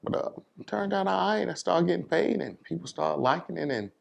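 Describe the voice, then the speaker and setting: A man's voice: sits high, lively intonation, fairly quick, creaky. A middle-aged American man chatting casually as an interview guest, telling his story in a laid-back, plain-spoken way.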